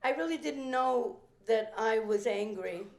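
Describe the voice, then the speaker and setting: An older woman from a working-class Italian immigrant family, a veteran performer telling a rambling, animated story with restarts and acted-out quotes at a theater benefit. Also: on the high side, a little slow. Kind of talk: anecdotal storytelling speech. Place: event room at a private club. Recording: roomy echoing event hall.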